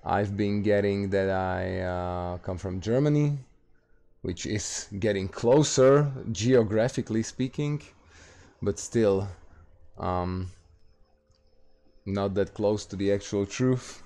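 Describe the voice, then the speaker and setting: A man from Slovakia with a Slovak accent, hosting a late-night gaming livestream and answering chat questions in a halting, casual way full of fillers. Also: fairly low pitch, mellow. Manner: halting, many fillers and restarts, reads out chat. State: tired, streaming late at night.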